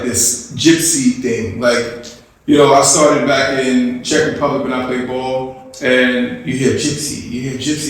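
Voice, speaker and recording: A man's voice: medium pitch, a little hiss or hum. A man hosting an interview podcast, talking in a loose conversational way, often restarting and asking "you know what I'm saying?". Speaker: podcast host. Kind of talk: casual interview chat. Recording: echoey room, mic at a distance.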